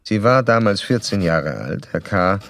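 An actor playing a composed, probing doctor in a radio play, reporting formally and clinically. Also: male voice, fairly low pitch, expressive, measured pace, a bit bright. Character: composed, probing male doctor narrating a case study.

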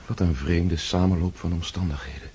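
An actor playing an earnest, gentle young nobleman who stammers when moved, in a radio drama. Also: deep voice, steady tone, moderate pace, slight background noise, close to an everyday voice. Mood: wondering.

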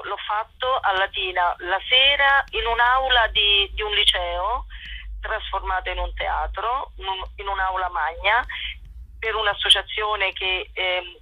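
A woman, an actress and playwright, giving a spontaneous interview in a halting, self-correcting way over a telephone. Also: medium pitch, no echo. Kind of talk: spontaneous radio interview about own show. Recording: dull telephone line.

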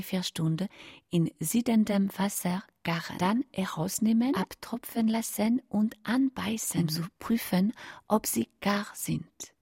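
Macabre cookery hostess for radio drama, unhurried, breathy, courteous and intimate. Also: female voice, medium pitch, a little mellow, dry recording, studio quality, full character voice. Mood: hushed, sensual. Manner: slow, deliberate, breathy, often near whispering. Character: courteous, macabre female cookery instructor addressing her audience. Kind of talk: intimate scripted radio monologue.

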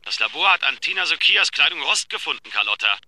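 A man's voice: fairly high pitch, very animated, fast, bright, forceful tone, dry recording, exaggerated voice. An actor playing an insistent male police detective, pressing and warning urgently in a crime radio drama.

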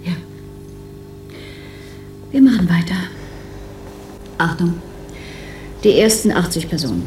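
A cool, dutiful clinic examiner speaks in a mid-pitched, mellow voice. It is a radio play with roomy reverberation and faint music. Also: female voice, very animated, slow pace, full character voice. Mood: restrained. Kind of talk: German radio play acting. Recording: roomy, echoing studio sound.

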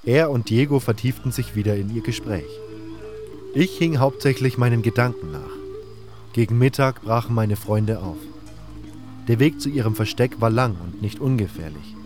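A man's voice: medium pitch, normal speed. A man reading scripted first-person narration for a fantasy audio drama. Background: music.

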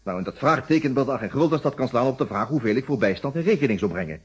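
A challenging dutch lawyer in an old radio drama, really husky, swinging intonation, theatrical. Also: male voice, medium pitch, animated, quick pace. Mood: defensive. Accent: Netherlands Dutch. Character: stout greying lawyer, proud, indignant, verbally sparring suspect. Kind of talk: Dutch radio play acting. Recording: dull old radio play recording.

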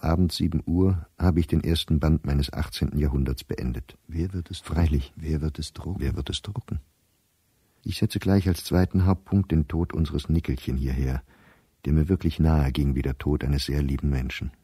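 Really deep voiced middle-aged professor reading his diary, weary n bitter, kinda husky, radio play. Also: warm tone, dry recording, clean studio sound, exaggerated voice. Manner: measured, weary, dropping to near-whisper at grim thoughts. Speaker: diarist narrator.

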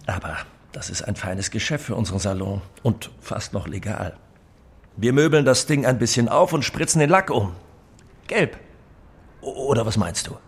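An actor playing a shady, chatty used-car dealer in a radio play, with breezy, self-absorbed patter. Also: male voice, on the low side, animated, normal speed, breathy edge. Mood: breezy, boastful. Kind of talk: German radio play acting.

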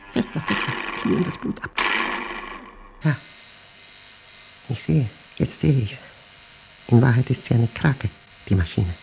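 Gravelly, slow, obsessed man. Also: medium pitch, a little mellow, a little hiss or hum. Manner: intense, halting delivery in clipped fragments. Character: obsessed, paranoid man battling a machine he fears. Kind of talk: radio drama monologue, tape diary.

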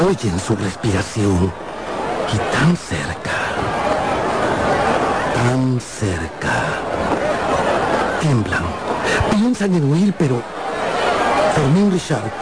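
A man's voice: medium pitch, very noisy. A man narrating a radio drama in a theatrical, suspenseful style. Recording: loud music behind.

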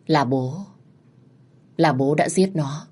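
Woman voicing a resigned middle-aged father, low, husky, heavy and pleading, storybook drama. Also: takes it slowly, darkish tone, exaggerated voice. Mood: grim, resigned.

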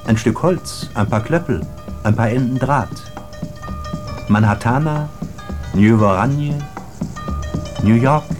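A man's voice: medium pitch, slow pace, long pauses, over music, close to an everyday voice. An actor playing a world-weary, brooding traveling salesman who narrates a literary radio play, addressing the listener directly in a rhetorical, declamatory way. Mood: brooding, poetic.

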